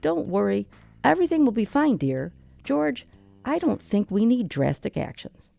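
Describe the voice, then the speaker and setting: A woman playing a sweet, gentle, eccentric old lady in a radio drama, unworried in manner. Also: on the high side, big pitch swings, moderate pace, vocal fry, faint music behind, full character voice. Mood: soothing. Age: older.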